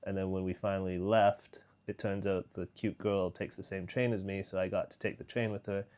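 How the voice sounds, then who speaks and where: A man's voice: low voice, little pitch movement, fairly quick, darkish tone. A young man vlogging in a casual, rambling way, full of fillers and restarts. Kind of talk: casual vlog chat.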